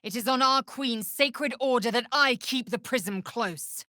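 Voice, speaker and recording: An actress voicing a fierce, haughty young alien warrior woman in a video game, husky and breathy, with an imperious, clipped, formal manner. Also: on the high side, unhurried, sharp tone, no room sound, studio quality. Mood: fierce, commanding.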